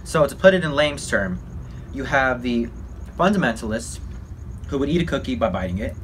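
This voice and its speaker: A man giving an informal, halting explainer as a mock news report, in simple slangy terms. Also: mid-range voice, lively intonation, slight background noise. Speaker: presenter in a student history project.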